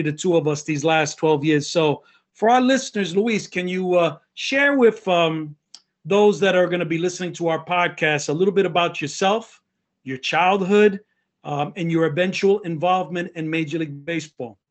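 A man introduces his guest on a podcast in a measured, earnest way, pausing now and then with small fillers. His voice sits a little high for a man. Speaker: podcast host. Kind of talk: warm formal interview introduction.

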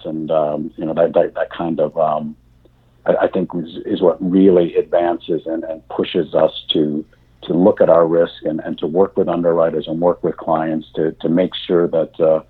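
Deep man on a tinny phone line. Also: slightly rough. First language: German. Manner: halting, many fillers and restarts. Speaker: insurance industry guest. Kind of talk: podcast interview answers.

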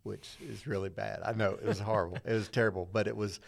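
A man's voice: fairly low pitch, level tone, quick pace, gravelly. A middle-aged man, a church media director, chatting as a podcast guest and rambling through his career story in a self-deprecating way. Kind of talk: casual interview storytelling.

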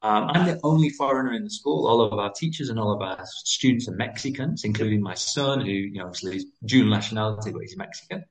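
A British-raised man, likely in his thirties, a school founder talking quickly as a podcast guest, with lively pitch and frequent restarts. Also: poor audio. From United Kingdom. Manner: fast, animated, many restarts. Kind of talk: interview conversation.

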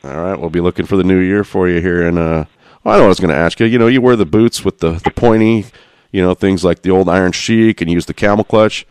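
A man hosting a casual podcast interview, relaxed and chatty, laughing often and swearing casually. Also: low-pitched, fast talker, smooth, no room sound. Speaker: podcast host.

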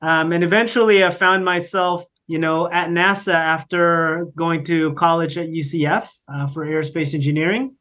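A man's voice: a little high, fairly even intonation, moderate pace, hardly any pauses, pushed and bright. A middle-aged man from the Philippines, explaining his work in an interview with many fillers and restarts. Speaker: guest, company founder. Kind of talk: conversational interview.

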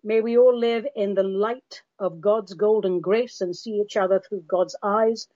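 A woman's voice: mid-range voice, steady tone, measured pace, dark tone. London woman, podcast host, theatrical and sardonic, calls listeners darlings; lo-fi recording. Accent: London English. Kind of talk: monologue podcast.

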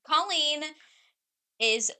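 A woman's voice: high-pitched, very slow. A woman in her twenties co-hosting a casual chat, blunt and rambling, with lots of fillers and swearing. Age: 20s. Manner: blunt, animated storytelling. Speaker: podcast co-host.

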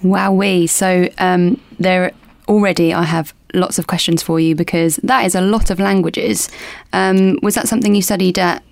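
A woman's voice: fairly low pitch, fairly even intonation, moderate pace, vocal fry, no echo. Upbeat woman hosting a podcast, dry sound. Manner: upbeat and encouraging, reacting with enthusiasm. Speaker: podcast host. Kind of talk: scripted introduction then casual interview.

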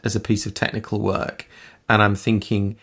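Gravelly, thoughtful male host. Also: on the low side, completely flat delivery, moderate pace, a bit bright. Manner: thoughtful, hesitant, repeats words while thinking. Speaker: podcast host. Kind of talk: conversational interview.

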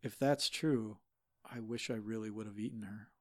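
A man's voice: fairly low pitch, fairly even intonation, dry recording, studio quality, natural voice. An actor playing a flustered male guest expert who halts and restarts constantly in an improvised comedy podcast. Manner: halting, full of fillers and restarts.

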